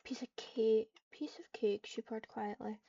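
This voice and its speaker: A woman voices a roleplay, moving between dialogue and narration. Her delivery is very flat in pitch, with a soft, dark tone. She sounds set back from the microphone, in a slightly dull recording. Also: measured pace, gravelly, a little hiss or hum. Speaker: online roleplay player. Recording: distant, slightly muffled microphone.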